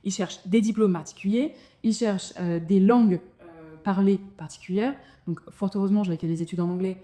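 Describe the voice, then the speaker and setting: A woman's voice: medium pitch, fairly even intonation, a little fast, warm tone. A woman in her thirties, a dentist talking casually to camera about her move abroad, with many fillers and restarts. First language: French. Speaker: dentist sharing her expat experience.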